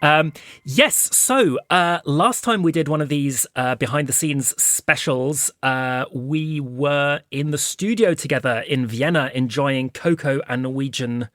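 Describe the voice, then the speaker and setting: A man's voice: on the high side, unhurried, a bit bright, no echo, clean studio sound. A man hosting a behind-the-scenes podcast as one of its producers, talking casually.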